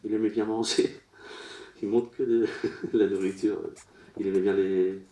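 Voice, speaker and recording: A man working as a medium at a public séance, relaying messages in short, broken, halting phrases. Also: on the low side, level tone, dark tone. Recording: roomy echo.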